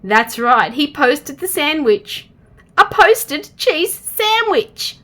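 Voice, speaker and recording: Lively, bright-voiced Australian woman reading a storybook. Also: sits high, takes it slowly. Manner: expressive, asks the listener questions. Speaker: storytime reader for young children. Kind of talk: children's storybook read-aloud.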